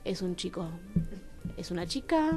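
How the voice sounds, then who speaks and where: An Argentine woman with a Rioplatense accent, a talkative and expressive storyteller in conversation who acts out remembered dialogue. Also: on the low side, measured pace. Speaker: playwright, radio show guest. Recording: music behind the voice. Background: music.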